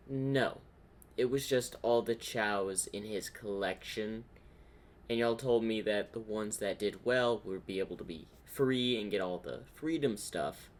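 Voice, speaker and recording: A man with a medium-pitched voice gives casual reaction commentary. He hosts in an informal, halting way, working out his thoughts as he goes. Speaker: reaction video host.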